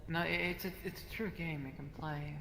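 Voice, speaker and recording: A man's voice: on the high side, steady tone, brisk, pushed and bright. Man, level intonation, casual gamer banter, roomy echo, background noise. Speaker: player in an online roleplay group. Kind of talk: casual roleplay banter in VRChat. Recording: echoey with clear background noise.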